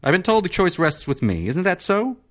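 A man reading an audiobook, voicing an irritable, restless male senator in his fifties who talks in sharp, demanding questions. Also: sits high, sing-song, a little fast, pitched above the performer's own voice. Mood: peevish.

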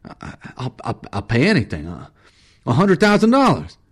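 A man's voice: fairly high pitch, very animated, brisk, darkish tone, voice pushed up. A man voicing a frightened, defensive hunter in an audiobook story, stammering and protesting. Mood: pleading. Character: frightened, pleading male hunter, shaken and defensive.

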